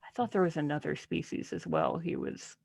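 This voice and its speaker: Female panelist, creaky voice, close dry sound, analytical casual book talk with deadpan humor. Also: low-pitched, fairly quick, no room sound. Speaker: podcast panelist. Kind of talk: casual book club discussion.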